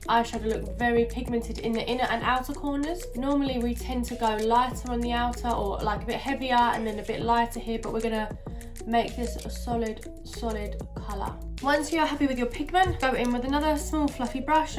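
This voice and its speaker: A woman with a stuffy, nasal voice from a cold, chatting casually through a makeup tutorial with lots of fillers. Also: on the high side. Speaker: beauty vlogger.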